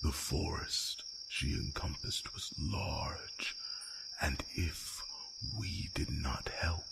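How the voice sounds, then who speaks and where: A man playing a grave, slightly grumpy old dragon in a fantasy roleplay audio drama, speaking slowly and solemnly in a breathy rasp. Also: a bass voice, whispered, heavily acted. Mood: reflective storytelling. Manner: slow, halting, solemn delivery. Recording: loud noise bed of night crickets.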